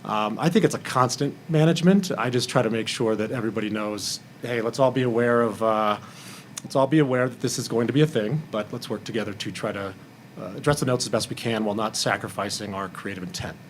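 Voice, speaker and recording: Man, low pitch, thoughtful, pausing, roundtable talk, slight background noise. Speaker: producer on a festival panel. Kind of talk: roundtable discussion. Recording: live panel with background room noise.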